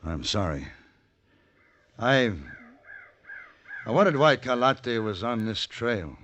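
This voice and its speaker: An actor playing a calm, principled gunfighter in a vintage radio western, speaking in a measured, firm, articulate way. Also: male voice, mid-range voice, slight background noise. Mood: grave.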